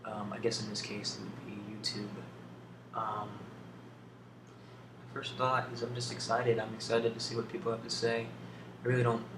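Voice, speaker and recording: Low-pitched man doing ASMR, roomy noisy recording. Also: little pitch movement. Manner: hesitant, thoughtful, halting with long pauses. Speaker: ASMR video host. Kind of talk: ASMR, unscripted question-and-answer. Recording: roomy, distant, clearly noisy home recording.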